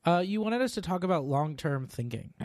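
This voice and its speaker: A man's voice: fairly high pitch, big pitch swings, a little fast, a little mellow, dry recording. A man co-hosting a podcast in casual chat, teasing the others with dry humor. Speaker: podcast co-host.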